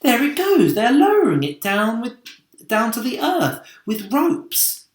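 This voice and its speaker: Excited young boy hero man reading, high and commanding, children's story, roomy sound. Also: unhurried, heavily acted. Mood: urgent. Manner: calling out urgently. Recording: roomy echo.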